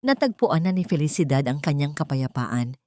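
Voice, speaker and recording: A woman narrating a dramatic story in an earnest, sentimental, measured way. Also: low-pitched, very animated, darkish tone, no echo.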